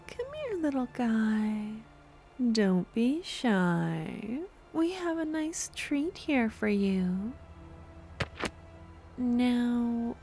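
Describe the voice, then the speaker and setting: A woman playing a devoted, possessive young necromancer stalker in an ASMR roleplay, speaking softly and breathily with gentle, sweetly menacing coaxing. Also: mid-range voice. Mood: cooing, delighted. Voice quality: soft, often breathy and whispery. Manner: slow, cooing, gentle coaxing. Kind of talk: ASMR audio roleplay, close and intimate.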